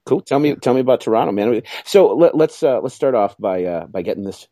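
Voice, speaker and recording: A man's voice: mid-range voice, expressive, brisk, dark tone, dry recording, studio quality. A middle-aged man, co-hosting a podcast in a jokey, rambling, self-mocking way. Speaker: podcast co-host. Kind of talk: casual chat.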